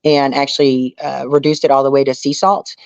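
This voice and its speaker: A woman's voice: rumbling low, little pitch movement, fairly quick, a little mellow, no echo. Female interview guest, earnest and conversational, close dry sound on a slightly muffled lo-fi recording. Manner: earnest, peppered with "you know". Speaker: interview guest, reality survival show contestant. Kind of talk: casual interview storytelling. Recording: dull lo-fi audio.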